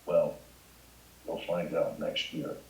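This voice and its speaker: An actor at a table read playing a stern high school teacher with a formal, measured, dryly sarcastic manner. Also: male voice, flat delivery, a little mellow, roomy sound, a little hiss or hum, rough recording. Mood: wry. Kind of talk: live comedy table read.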